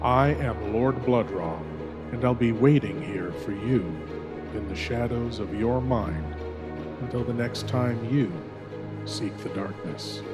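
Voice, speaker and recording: Slow, ominous horror show host, man. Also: medium pitch. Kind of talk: scripted theatrical narration. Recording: reverberant with music behind.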